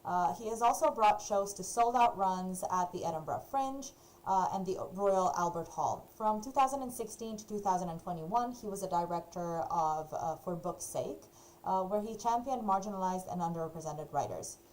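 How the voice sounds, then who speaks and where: A woman's voice: medium pitch, normal speed, darkish tone, a little hiss or hum, low-quality recording. A woman hosting an online book launch, reading out an author's biography with frequent hesitations. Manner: hesitant, many uhs. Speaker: event host. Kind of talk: introducing readers at an online event. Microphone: video call.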